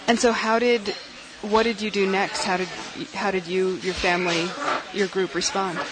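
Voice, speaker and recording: A woman hosting a radio interview, speaking in a measured way with occasional restarts. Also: medium pitch, flat delivery, very noisy. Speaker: radio host.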